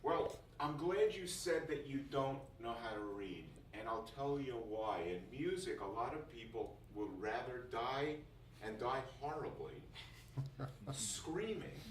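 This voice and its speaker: A man's voice: mid-range voice, expressive, unhurried. A man giving an informal, jokey first-day talk to music students as their teacher, often repeating phrases for emphasis. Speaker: music school teacher addressing new students. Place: indoor classroom. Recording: roomy, distant mic, faint noise.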